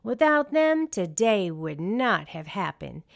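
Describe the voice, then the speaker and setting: Woman as a dramatic, animated male pop star. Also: mid-range voice, slow, vocal fry, exaggerated voice. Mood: grateful. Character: friendly, dramatic, grateful male singing star.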